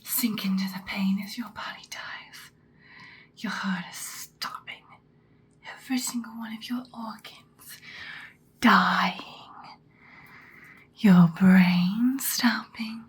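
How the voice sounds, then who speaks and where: A woman voicing an imperious, seductive ancient vampire in a breathy, dramatic whispered ASMR roleplay. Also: mid-range voice, fairly even intonation. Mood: commanding, ominous. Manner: slow, deliberate, dramatic. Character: ancient female vampire, seductive, imperious, menacing yet protective.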